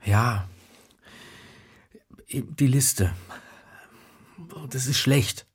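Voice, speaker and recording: An actor playing a menacing, lovesick extortionist in a radio drama, muttering insistently in halting, repeated phrases. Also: male voice, mid-range voice, breathy edge, exaggerated voice. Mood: ominous, pressing. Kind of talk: stylized radio drama monologue and dialogue. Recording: music behind the voice. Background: music.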